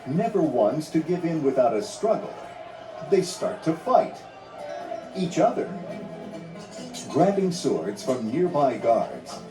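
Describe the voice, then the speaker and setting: A man's voice: fairly high pitch, very animated, takes it slowly, long pauses. A man reading a children's read-along story in a dramatic, scripted storytelling manner. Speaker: narrator. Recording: roomy, distant sound under music.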